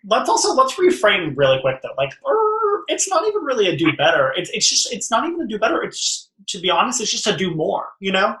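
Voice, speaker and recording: A young woman hosting a casual tarot reading, chatting in an animated, slangy, teasing and emphatic way. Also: mid-range voice, fairly quick, few pauses, reverberant, low-quality recording. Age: young adult. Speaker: host and tarot reader.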